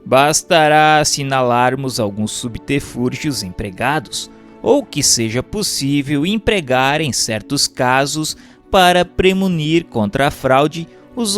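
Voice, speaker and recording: A man's voice: mid-range voice, a bit bright. A man with a Brazilian accent reading a book aloud as formal, didactic scripted narration, enunciating carefully. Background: music.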